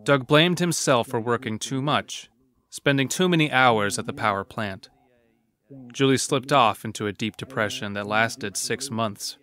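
A man narrating a dark horror audio drama as its storyteller. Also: medium pitch, a little slow. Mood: grave storytelling.

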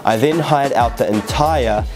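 A young man, a fitness YouTuber telling an excited story to camera, recorded indoors on a lapel mic. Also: mid-range voice, a little slow. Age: young adult. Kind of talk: upbeat vlog narration to camera. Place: indoor living room, seated. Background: background music.

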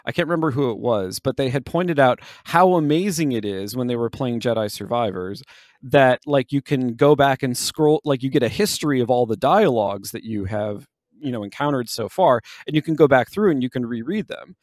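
A man's voice: mid-range voice, animated, fairly quick, a little mellow, no room sound, studio quality. A man co-hosting a podcast, explaining things casually and loosely, with plenty of hedging. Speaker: podcast co-host. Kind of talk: casual game discussion.

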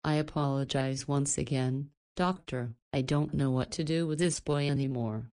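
A woman acting a protective guardian in a visual novel. Her voice is notably low for a woman, and she sounds firm, caring and motherly. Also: fairly even intonation, moderate pace, mellow. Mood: scolding, apologetic.

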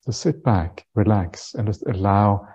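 A man in his fifties leads a guided meditation with a lowish, very mellow voice. He speaks gently and takes his time, pausing often and filling gaps with small uhs. The sound is a little muffled and lo-fi. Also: husky. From Norway. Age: 50s. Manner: gentle, unhurried, halting with frequent pauses and uhs. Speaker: Buddhist monk, meditation teacher.

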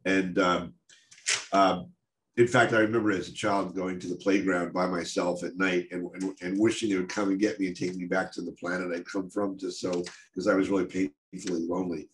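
An older American man with a head cold, talking in a halting, casual way on a video call from his home study, full of restarts and wry asides. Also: low-pitched, little pitch movement, a little hiss or hum, low-quality recording. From United States. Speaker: guest speaker. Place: home office or study, indoors. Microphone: video call webcam.

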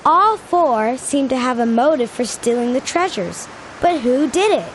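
High, precise, studious young detective. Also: female voice, sing-song, unhurried, a little hiss or hum, low-quality recording. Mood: reasoning. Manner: formal, precise wording. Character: studious, logical young detective in kids' gang. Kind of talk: cartoon game voice acting.

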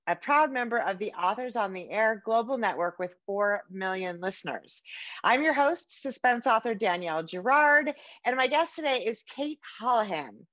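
A woman's voice: on the high side, fairly bright tone, dry recording, highs cut off. A middle-aged woman hosting a podcast, introducing and interviewing a guest over a video call. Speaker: podcast host and suspense author. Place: home room with bookshelves, indoors.

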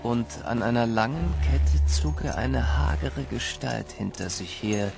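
A man narrating a dark winter legend as a solemn, dramatic storyteller in an audio play. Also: mid-range voice, unhurried, vocal fry, music in the background.

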